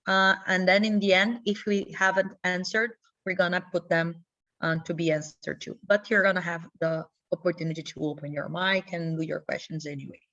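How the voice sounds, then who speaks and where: A woman's voice: mid-range voice, a bit bright, dull audio. A young Brazilian woman hosting an online info session over a video call, explaining steps in a friendly, instructive way with small slips. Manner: friendly, instructive, non-native English with slips. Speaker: webinar host.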